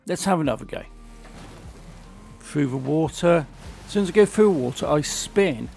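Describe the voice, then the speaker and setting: A man's voice: a little high, expressive, moderate pace, gravelly, a little mellow, faint music behind. A man with a sore throat, chatting casually as he plays and comments on a racing game. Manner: chatty, grumbling about the game. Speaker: gaming channel host.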